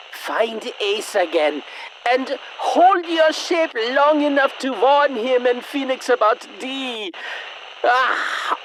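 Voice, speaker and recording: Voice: mid-range voice, fairly bright tone, slight background noise, full character voice, rough recording. A performer voicing a male captain and inventor who laments his own hubris in a theatrical monologue. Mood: self-rallying. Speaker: time-travelling inventor.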